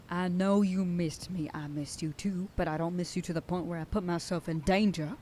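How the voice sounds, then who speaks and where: A woman's voice: lowish voice, fairly even intonation, normal speed, darkish tone, slight background noise. A woman voicing a protective werewolf sheriff girlfriend in an ASMR roleplay, scolding and bossy. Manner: scolding, bossy then whispering tenderly. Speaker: voice actor.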